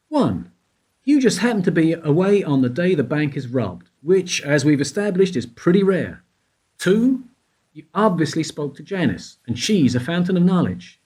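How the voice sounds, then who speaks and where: An actor playing a shrewd investigator in a radio play, interrogating in a deliberate, sardonic, accusing way. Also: male voice, a little high, darkish tone, slightly rough. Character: shrewd, sardonic male investigator grilling a suspect.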